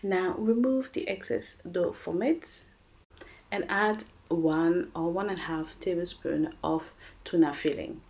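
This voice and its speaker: A woman with a French accent narrates a home cooking video, speaking slowly and warmly to her viewers. Her voice sits a little low, and she keeps up a chatty, encouraging manner as she explains each step. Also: gravelly. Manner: chatty, encouraging, addresses viewers as guys and friends. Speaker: cooking channel host. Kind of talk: recipe voice-over.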